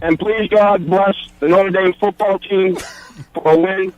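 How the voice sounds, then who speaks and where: A man's voice: high voice, steady tone, unhurried, highs cut off. A man delivering a mock prayer on live radio. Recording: clear crowd noise behind. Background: crowd noise.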